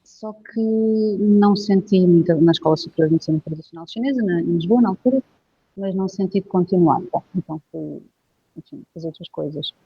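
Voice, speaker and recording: A woman from Portugal with a European Portuguese accent, a guest herbalist telling her story in a relaxed, hesitant way with many fillers. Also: on the low side, fairly quick, slightly rough, no room sound. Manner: reflective, hesitant, with frequent filler phrases. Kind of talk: casual interview conversation. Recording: dull, dry lo-fi recording.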